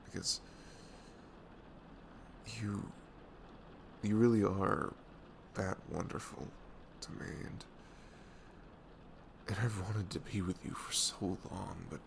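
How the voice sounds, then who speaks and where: Man acting a deep, slow-spoken, lovestruck best friend. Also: fairly even intonation, vocal fry, slight background noise, exaggerated voice. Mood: tender confessing. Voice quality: soft, velvety. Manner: hesitant, halting, earnest. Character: devoted young male best friend, goofy, nervously lovestruck. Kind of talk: ASMR audio roleplay.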